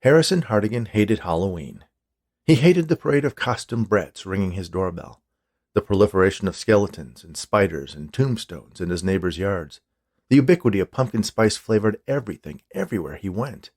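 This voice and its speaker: A man's voice: on the low side, lively intonation, a little fast, a little mellow. A man reading his own short story as scripted narration.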